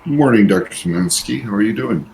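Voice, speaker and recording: A man's voice: lowish voice, lively intonation, brisk, vocal fry, darkish tone, a little hiss or hum, low-quality recording. A man playing a tabletop role-playing game, speaking in character in a casual, understated way.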